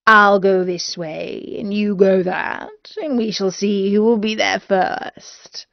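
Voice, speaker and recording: A woman's voice: medium pitch, fairly even intonation, takes it slowly, vocal fry, dry recording, lo-fi sound. A woman voicing a hungry, scheming male wolf who tries to sound sweet, in a storybook reading. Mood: sly. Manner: sly, fakes a sweet voice.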